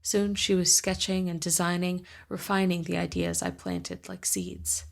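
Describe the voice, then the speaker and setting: A woman host reading a first-person fantasy story aloud in a measured, deliberate way. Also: lowish voice, big pitch swings, creaky.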